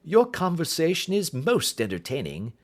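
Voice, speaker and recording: A male reader acts a keen gentleman detective for an audiobook. He pitches his words high with lively swoops, setting out his reasoning precisely and keeping a bland, unruffled courtesy. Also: normal speed. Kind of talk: audiobook character acting.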